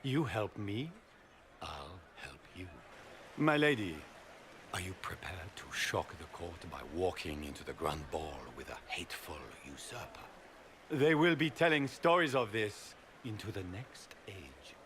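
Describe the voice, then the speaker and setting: Suave, theatrical scheming duke, swooping delivery. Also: male voice, sits low, animated, measured pace, fairly bright tone, a little hiss or hum. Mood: courtly, persuasive. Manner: grand, theatrical, formal courtly speech. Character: suave, scheming noble duke, former general, courtly and proud. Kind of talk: video game voice acting.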